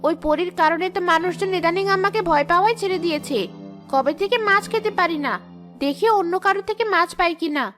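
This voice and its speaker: A woman's voice: very high-pitched, quick pace, fairly bright tone, faint music behind. A woman voicing a mischievous, greedy female tree ghost in a cartoon, sly, grumbling and scheming. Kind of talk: cartoon character acting.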